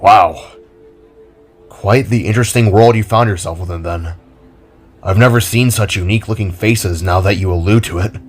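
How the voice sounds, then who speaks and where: A man doing a scarred, steady older brother. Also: on the low side, normal speed, breathy edge. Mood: earnest.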